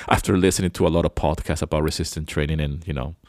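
Very deep-voiced man rambling. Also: fairly even intonation, fast talker, a little mellow, no echo, clean studio sound. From Venezuela. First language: Spanish. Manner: rambling, loose and chatty. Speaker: podcast host. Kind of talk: casual reflective monologue.

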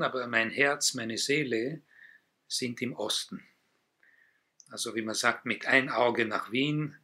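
An older man from Israel, a native Hebrew speaker who is retired and teaches Hebrew, talking in a relaxed, hesitant way as a guest in an interview. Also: medium pitch. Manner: slow, thoughtful, with frequent hesitations. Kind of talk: casual interview talk.

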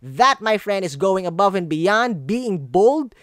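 A man's voice: high voice, big pitch swings, measured pace, a bit bright, no echo, studio quality. A man from the Philippines with a Filipino accent, speaking English as a second language, giving earnest motivational coaching and repeating phrases for emphasis. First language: not English. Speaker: branding coach, guest speaker. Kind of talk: motivational conversational talk. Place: online video call.

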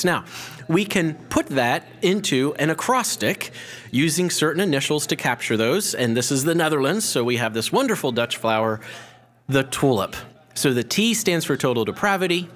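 A man's voice: mid-range voice, fairly bright tone. A man hosting an educational history programme, explaining in a prepared but conversational way with small clarifying asides. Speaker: host, church historian.

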